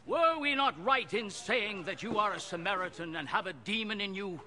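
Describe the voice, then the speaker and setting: An actor playing an indignant religious opponent in a film drama, speaking emphatically. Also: male voice, sits high, animated, normal speed, pushed and bright, full character voice.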